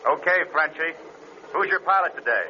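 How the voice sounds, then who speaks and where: Brisk airfield dispatcher, very sharp forceful voice, clipped, muffled old radio drama. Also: male voice, a little high, lively intonation, a little hiss or hum. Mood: businesslike. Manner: clipped, businesslike.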